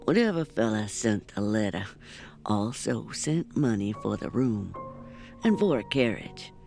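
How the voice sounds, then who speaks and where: Slow, creaky old Southern woman innkeeper. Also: medium pitch, sing-song, music in the background. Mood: matter-of-fact, kindly. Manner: slow, folksy, hospitable. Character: wrinkled hunched old woman innkeeper, folksy and welcoming. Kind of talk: audio drama acting.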